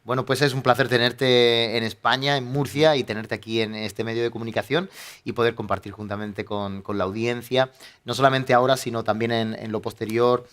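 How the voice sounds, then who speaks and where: Joking pastor hosting. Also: male voice, medium pitch, flat delivery, a bit bright. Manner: friendly, joking, drawn-out fillers. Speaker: pastor and program host.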